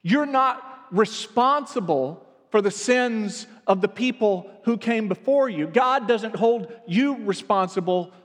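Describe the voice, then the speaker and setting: A man's voice: sits high, lively intonation, slow, few pauses, a bit bright, long reverb tail. A man from the American South preaching a reflective sermon as pastor, earnest and building in emphasis.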